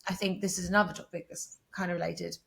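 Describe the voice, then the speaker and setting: A young woman from England co-hosting a podcast, talking in a relaxed, reflective way with many fillers. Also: fairly low pitch, fast, vocal fry. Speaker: podcast co-host. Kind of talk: casual chat.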